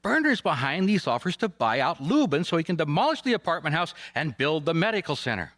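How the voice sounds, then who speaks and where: An actor playing a wry, easygoing private detective in a radio drama, talking in a dry, casual hardboiled style. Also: male voice, on the high side, very animated, a little fast, a bit bright, no echo. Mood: brisk.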